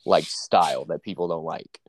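A man's voice: very deep voice, moderate pace, no echo. A young man with a stuffy nose from allergies, chatting casually about work and sports, saying "dude" and "bro" a lot. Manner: laid-back, says "dude" and "bro" a lot.

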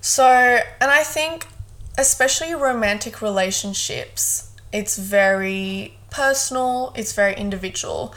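A woman in her mid-twenties from South Africa, speaking as a guest co-host in a relaxed, thoughtful podcast chat. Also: mid-range voice, a little slow. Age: 20s. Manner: relaxed, thoughtful, fond of "hundred percent".

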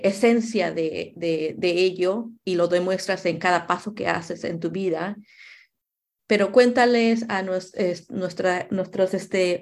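A woman hosting a conversational interview, speaking affirmingly and repeating phrases for emphasis. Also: on the low side. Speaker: podcast host, interviewer.

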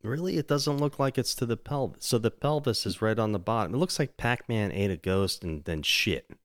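A man's voice: on the low side, brisk, fairly bright tone, dry recording. A man hosting a casual podcast, crude and joking, swearing freely. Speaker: podcast host.

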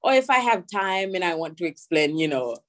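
A young woman with a Haitian accent, a podcast guest chatting casually and softly, laughing easily. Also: lowish voice, animated, a little fast. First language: Haitian Creole. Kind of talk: casual interview chat.

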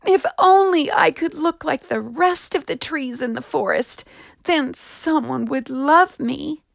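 A woman voicing a small, frightened young pine tree, a little boy, speaking in a high, earnest, pleading way. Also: unhurried, creaky, no room sound. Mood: despairing. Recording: dry close studio sound.

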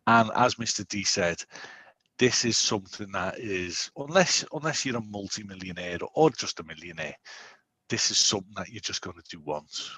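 A man in his forties chats loosely on a podcast, starting and restarting his thoughts. His voice sits low with a husky edge. The sound is close and dry. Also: normal speed, dry recording. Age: 40s. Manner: rambling, animated, self-interrupting. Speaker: podcast co-host. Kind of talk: casual chat.

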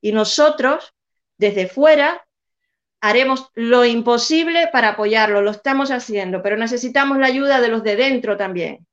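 A woman's voice: a little high, normal speed, muffled recording. A young Cuban-born woman with a Spanish accent, speaking as a guest and pressing her points with impassioned repetition. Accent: Spain Spanish. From Cuba. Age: young adult. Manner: impassioned, repeats phrases for emphasis. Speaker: guest, civic group representative. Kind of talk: earnest advocacy in a live chat.

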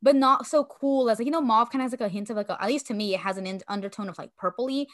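A woman's voice: a little high, fast talker. Giggly young woman co-host. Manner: agreeable, giggly, lots of backchannel. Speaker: podcast co-host. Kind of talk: casual podcast chat.

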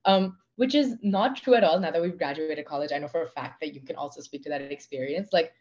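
A fairly low-voiced young woman with an Indian accent talks at length about herself in a podcast chat. She thinks aloud in long, winding sentences full of fillers. The audio sounds dull and lo-fi. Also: fast, darkish tone. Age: 20s. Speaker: guest. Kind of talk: casual conversation.

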